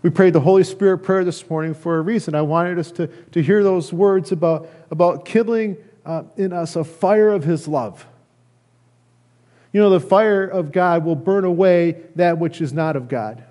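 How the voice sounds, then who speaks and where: A middle-aged American man giving a sermon as a pastor, speaking earnestly and haltingly, often repeating phrases. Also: sits high, dark tone. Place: church sanctuary, indoors at a lectern.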